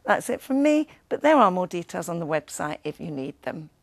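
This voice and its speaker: A woman's voice: lowish voice, very animated, brisk, vocal fry, no echo. A woman presenting a scripted television weather forecast.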